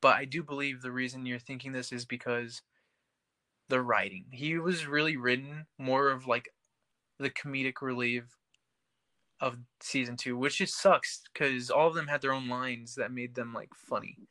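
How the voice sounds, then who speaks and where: A young man with a bright, forward voice at an average pitch. He speaks as a podcast co-host in casual discussion, explaining his opinions step by step. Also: steady tone, normal speed. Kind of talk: casual panel chat about TV.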